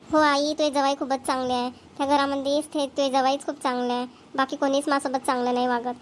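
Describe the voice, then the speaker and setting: A woman's voice: sits high, level tone, fast talker, a little hiss or hum, lightly acted. A woman playing an overworked, plaintive village housewife in a family cartoon, with a rural Varhadi Marathi accent. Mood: complaining. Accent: Maharashtrian, rural Varhadi Marathi.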